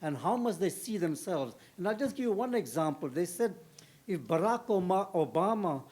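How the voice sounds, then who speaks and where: An older man from South Africa asking the panel a long question from the audience, halting and full of fillers. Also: sits high, animated, a little mellow. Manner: halting, with many fillers and restarts. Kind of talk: question from the floor at a panel discussion.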